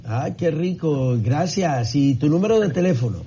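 A man's voice: a little high, animated, normal speed, dark tone. A man, a priest, taking calls as co-host of a live radio fundraiser. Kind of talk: live on-air talk with callers. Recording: dull lo-fi sound, faint background noise.

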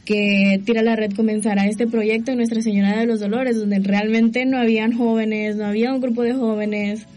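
A young woman from El Salvador, soft-spoken and earnest, telling her story as a guest. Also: on the high side, level tone, normal speed. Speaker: youth group coordinator. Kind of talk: casual interview answers.

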